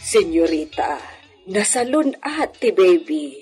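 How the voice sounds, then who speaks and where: An actress playing an attentive, unhurried woman who attends a pregnancy in a radio drama, with a husky voice. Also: medium pitch, very animated, a little mellow, soft background music. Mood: caring, explanatory.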